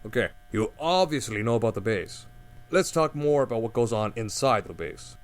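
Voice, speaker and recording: Man doing a radio call-in host, mid-pitched, direct questions, faint hum behind. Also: lively intonation, moderate pace, close to an everyday voice. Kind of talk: radio drama acting.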